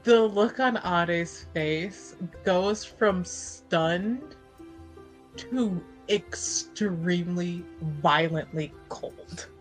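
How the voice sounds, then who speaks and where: A woman narrating a character in a tabletop roleplaying game, casually improvising in a halting, emphatic, conversational way. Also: on the low side, soft background music, low-quality recording.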